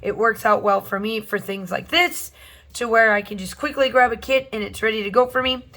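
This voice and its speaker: A woman hosting a scrapbooking channel, rambling chattily through a crafting voiceover with many fillers, her voice slightly hoarse from allergies. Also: a little high, steady tone, a little fast, bright, forceful tone. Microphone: voice-over recorded separately from the video.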